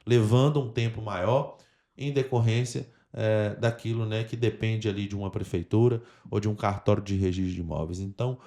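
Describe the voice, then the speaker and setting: Brazilian guy podcast host, lowish voice, earnest and kinda formal, talking right to the audience. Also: fairly even intonation, moderate pace, warm tone. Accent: Brazilian Portuguese. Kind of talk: podcast talk, addressing the audience directly.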